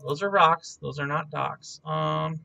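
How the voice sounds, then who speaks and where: A young man chatting casually and joking while he builds a map on stream, thinking out loud as he works. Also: fairly high pitch, fairly even intonation, takes it slowly, vocal fry. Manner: joking, laid-back, thinking aloud. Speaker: mapmaker streaming his work. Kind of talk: casual chat while working.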